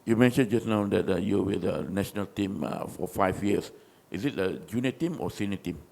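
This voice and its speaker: Man, journalist, low gravelly voice, rambling press questions. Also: normal speed, darkish tone. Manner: long, rambling questions with fillers. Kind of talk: asking questions at a press conference.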